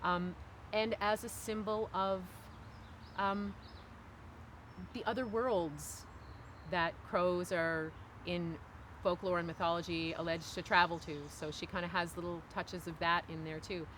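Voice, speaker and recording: A woman's voice: on the low side, flat delivery, measured pace, fairly bright tone, slight background noise. A woman in her forties from Cape Breton, Canada, giving thoughtful, emphatic, conversational answers as an interview guest. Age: 40s. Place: outdoors on a wooden bench by woods.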